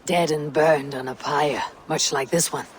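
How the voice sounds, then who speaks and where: A woman's voice: low voice, steady tone, a little slow. A Viking warrior in a video game drama. She is stoic and wry, speaking in terse, grave phrases. Faint outdoor noise runs behind her.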